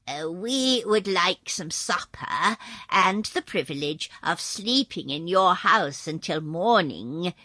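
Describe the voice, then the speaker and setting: A woman voicing a polite, dignified male frog-man in a storybook reading, formal and measured. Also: lowish voice, animated, bright, forceful tone, no room sound, heavily acted.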